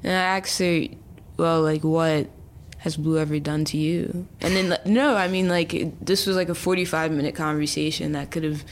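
A woman's voice: low-pitched, fairly even intonation, normal speed. A woman in her early twenties, a chatty interview guest who talks casually with many fillers and restarts. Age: early 20s. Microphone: remote call.

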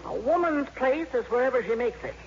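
A woman's voice: high voice, lively intonation, a little fast. An actress playing a proud, feisty old grandmother in a 1950s radio comedy, speaking in an emphatic, no-nonsense way. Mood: proud, indignant. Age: older. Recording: dull, noisy vintage radio broadcast.

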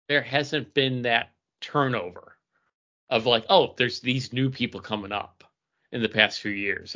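A man's voice: medium pitch, pushed and bright, highs cut off. A man co-hosting a podcast, musing aloud in casual, conversational group discussion. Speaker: podcast co-host.

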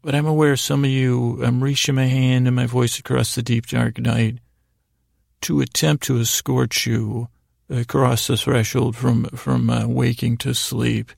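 A man hosting a bedtime podcast in a rambling, halting monologue, soothing and gently self-deprecating, with a gravelly voice. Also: mid-range voice, little pitch movement, no echo, studio quality. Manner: meandering, halting, frequently derailing his own sentences. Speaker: podcast host. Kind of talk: rambling bedtime monologue to help listeners fall asleep.

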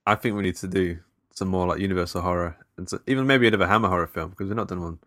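A man's voice: deep voice, rapid-fire, a bit bright, no echo, studio quality. A man hosting a casual podcast, laid-back and hesitant, often chuckling. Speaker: podcast host. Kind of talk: casual chat.

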